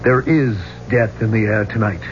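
A man's voice: fairly low pitch, steady tone, moderate pace, natural voice. An actor playing a brash, insistent younger man in a 1970s radio drama, talking in a blunt, persuasive way. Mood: urgent. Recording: muffled, noisy old radio recording.

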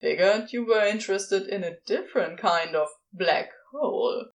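A woman's voice: mid-range voice, normal speed, lightly acted. A woman voicing a sardonic, casual male celestial being with offhand, clipped phrasing in a fan fiction audiobook. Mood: teasing.

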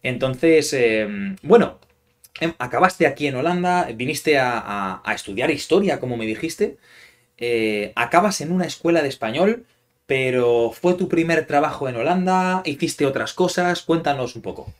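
Spaniard host, easygoing interviewer. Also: male voice, fairly high pitch, animated, moderate pace. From Spain. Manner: friendly questioner, many fillers. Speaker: podcast host, Spanish teacher. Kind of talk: casual interview chat.

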